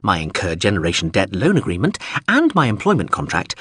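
A man's voice: deep voice, huge pitch swings, brisk, pushed and bright, husky, dry recording, heavily acted. A man voicing a pompous, fussy sentient robot drone that talks in long-winded legal phrasing in an audiobook. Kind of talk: audiobook character voice.

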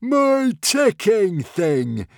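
Manic Viking chief: high, loud, slow gloating roars. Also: male voice, sing-song, no echo, clean studio sound. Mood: manic glee. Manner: gleeful, roaring, drawn-out shouts.

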